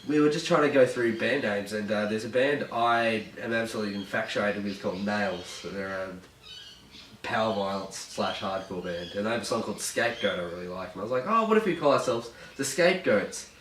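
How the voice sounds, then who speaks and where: A man's voice: on the low side, a little fast, a bit bright. A man with a nasal, stuffy voice, chatting casually in a band interview, joking and rambling with lots of fillers. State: stuffy from hay fever. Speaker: band bassist. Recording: echoey room, distant mic, faint noise.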